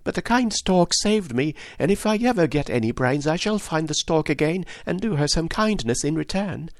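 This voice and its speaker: A man voicing a gentle, cheerful scarecrow in a storybook reading. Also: a little high, animated, moderate pace, no echo, studio quality, voice pushed up. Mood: grateful.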